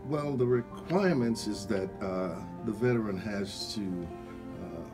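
Low-voiced man, halting in his phrasing. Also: lively intonation, music in the background. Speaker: guest, veterans program representative. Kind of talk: radio interview answers. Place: small radio studio. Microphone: studio microphone.

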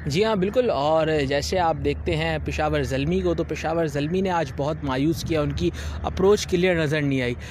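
A man's voice: on the high side, moderate pace, a little mellow, a little hiss or hum. A young man working as a sports analyst, giving fluent, assured cricket analysis into a handheld mic outdoors by a stadium. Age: young adult. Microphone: handheld reporter mic.

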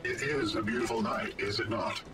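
Guy doing polite ai robot from a game, very formal n stilted, sounds far off, loud music behind. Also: fairly low pitch, brisk, close to an everyday voice. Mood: courteous, measured. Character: polite, formal artificial intelligence robot helper. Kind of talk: video game voice acting.

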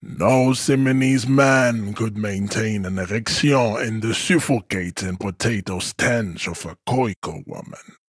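A haughty, hulking supremacist from a video game. His voice is low and husky, recorded very dry. He declaims solemnly and pompously, as if above everyone. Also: dry recording. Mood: cold, haughty. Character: haughty, hulking supremacist strongman, adult man. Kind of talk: scripted game character monologue.

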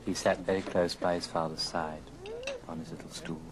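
A man narrating a dramatic story in a theatrical, measured old radio style. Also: sits low, little pitch movement, a crowd in the background. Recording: old record, music behind.

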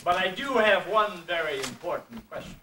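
A man's voice: high-pitched, expressive, normal speed. A man voicing a pompous, courtly royal elephant puppet in a grandiose, declamatory stage manner, on an amateur camcorder recording. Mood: fussy, courtly. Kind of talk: theatrical puppet show acting. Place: indoor convention stage, distant camera. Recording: roomy, distant amateur camcorder, faint noise.

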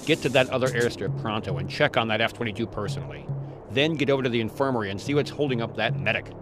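A man's voice: medium pitch, fast talker, over music, natural voice. A man playing a cold, commanding agency boss in a video game, giving curt, authoritative speech. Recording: clear vehicle and outdoor noise.

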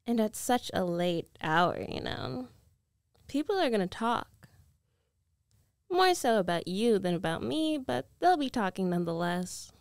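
A woman's voice: medium pitch, very animated, a little slow, dry recording, clean studio sound. A woman playing a confident, possessive rich ex-girlfriend in an ASMR roleplay, coaxing and teasing in a self-assured way. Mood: coy, coaxing.

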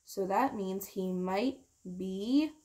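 Slow-paced young woman reading a storybook. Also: medium pitch, dark tone, slight background noise. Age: young adult. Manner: slow, deliberate, drawn-out key words. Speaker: teacher reading a children's storytime. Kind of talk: expressive picture-book read-aloud with simple explanations for children.

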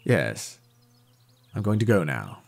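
Slow, gravelly, awkward brooding man acted by a man. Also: sits low, very animated, exaggerated voice. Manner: halting, terse. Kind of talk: audio drama acting.

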